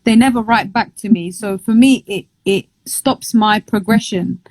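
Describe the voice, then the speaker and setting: A woman's voice: mid-range voice, unhurried, darkish tone, dry recording, poor audio. Chatty woman, dry close sound. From Somalia, raised in London. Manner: chatty, lots of "like" fillers, laughs easily. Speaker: boxer, interview guest. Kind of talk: casual interview chat.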